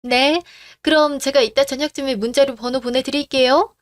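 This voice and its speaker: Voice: high voice, steady tone, moderate pace, fairly bright tone, voice pushed up. A woman voicing a courteous, businesslike real estate agent. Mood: polite. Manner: polite, businesslike.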